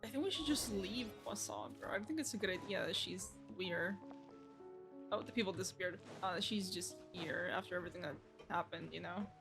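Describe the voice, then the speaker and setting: A woman's voice: lowish voice, a little fast, creaky. Young woman streamer, casual game commentary over music. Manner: slangy, empathetic, talks back to the characters. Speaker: game streamer reacting to a story quest.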